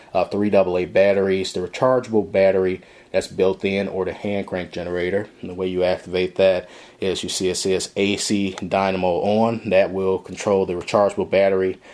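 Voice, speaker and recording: Low-pitched man demonstrating a product. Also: a little mellow. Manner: explanatory, step-by-step walkthrough. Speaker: product reviewer. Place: indoor room.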